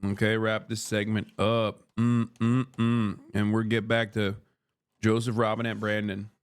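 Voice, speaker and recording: A man's voice: lowish voice, flat delivery, measured pace, smooth. Profane, bantering man from Turkey. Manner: profane, bantering, reads chat aloud and argues with it. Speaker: streamer. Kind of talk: casual livestream chat while eating.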